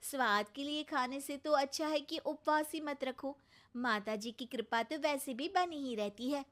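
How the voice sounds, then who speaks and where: A woman plays a young daughter-in-law in a family cartoon. Her voice is very high, with a polite and earnest manner. She sounds sensible and gently pleading. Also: measured pace.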